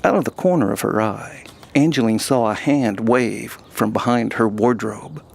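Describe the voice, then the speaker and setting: Creaky-voiced man narrating fantasy. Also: mid-range voice, moderate pace. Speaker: host and narrator. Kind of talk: scripted fantasy story narration.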